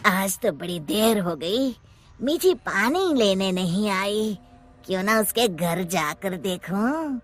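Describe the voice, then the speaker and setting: A woman's voice: mid-range voice, animated, slow pace, pitched below the performer's own voice. A woman voicing a mischievous, menacing witch ghost who is a protective friend, speaking in a commanding, theatrical way. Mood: musing.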